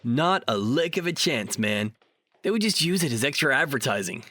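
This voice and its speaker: A dry, close recording of a man acting as a male ghost hunter in an audio drama. He is bossy and sardonic, with very lively, swooping delivery and flippant emphasis. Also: mid-range voice, moderate pace, no echo. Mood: bossy, urgent.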